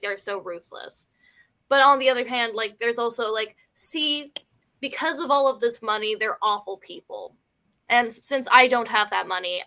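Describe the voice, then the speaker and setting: A woman's voice: a little high, pushed and bright. A woman in a casual film discussion, talking in a hedging way peppered with "like" and "you know". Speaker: film review panelist.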